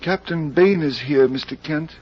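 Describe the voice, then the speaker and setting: Distraught manservant played by a man, high quavering voice, vintage radio mystery, faintly noisy recording. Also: measured pace, natural voice. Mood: subdued, deferential. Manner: stammering, deferential, quavering. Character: timid, distraught male household servant. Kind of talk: 1940s radio acting. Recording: muffled old radio recording with faint noise.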